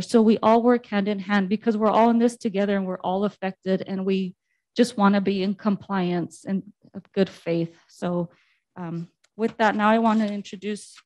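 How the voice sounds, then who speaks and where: A woman's voice: mid-range voice, darkish tone, dry recording. A woman on city staff presenting to a council meeting, reading slide text aloud with frequent fillers.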